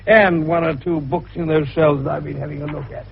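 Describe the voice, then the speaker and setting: High, husky, wheezy old British detective doctor. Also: male voice, fairly even intonation, fairly quick. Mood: puffing, expansive. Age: older. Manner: avuncular, folksy, expansive, teasing. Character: jovial, shrewd, wheezy older amateur detective doctor, male. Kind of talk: 1950s British radio drama acting. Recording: dull vintage radio recording.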